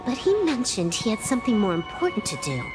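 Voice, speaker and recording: A woman voicing an excitable young girl companion in a video game, chatty and incredulous. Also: on the high side, fast talker, music in the background. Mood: puzzled.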